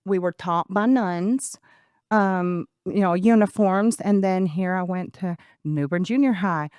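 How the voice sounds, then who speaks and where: A woman in her forties from Venezuela, a Spanish speaker with a Venezuelan accent, telling her life story as a podcast guest in an animated way. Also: lowish voice, measured pace, clear, resonant tone, no room sound. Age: 40s. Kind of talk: conversational interview.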